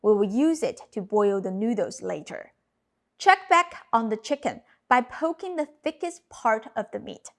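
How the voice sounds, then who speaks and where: A woman in her thirties whose first language is Cantonese, narrating a cooking lesson with clear step-by-step instructions. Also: on the high side, animated, measured pace. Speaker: cooking channel host. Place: home kitchen.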